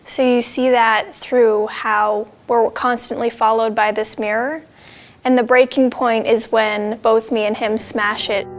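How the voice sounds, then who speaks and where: A woman student explains her video project. She speaks very slowly and deliberately, with a slightly high pitch. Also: little pitch movement. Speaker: student presenter.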